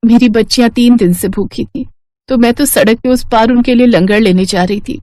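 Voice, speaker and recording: A woman's voice: a little high, normal speed, mellow, no echo, natural voice. A woman as a humble poor mother. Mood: apologetic. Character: poor widowed mother, humble and caring housemaid.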